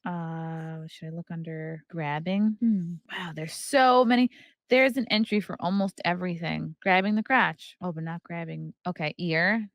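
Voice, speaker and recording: A woman's voice: lowish voice, a little slow, no echo, studio quality. A woman hosting a podcast, chatting casually and reading aloud from a book with playful, irreverent, flippant asides. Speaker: podcast host.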